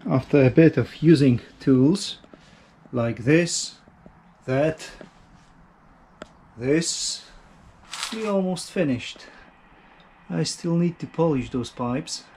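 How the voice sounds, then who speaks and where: A man casually narrating a DIY vlog in an informal, halting, self-deprecating way. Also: on the high side, warm tone, a little hiss or hum. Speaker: motorcycle hobbyist, video host.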